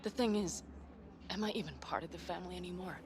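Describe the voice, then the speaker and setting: Sharp-tongued nomad woman, proud, stubborn , female actor, noisy track. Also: lowish voice, fast talker, lightly acted. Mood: subdued. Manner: sharp, bitter.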